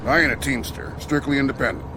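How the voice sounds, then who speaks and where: An actor in a radio drama playing an easygoing long-haul truck driver with a gravelly voice and folksy, casual talk. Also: male voice, medium pitch, quick pace, background noise, close to an everyday voice. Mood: breezy, chatty.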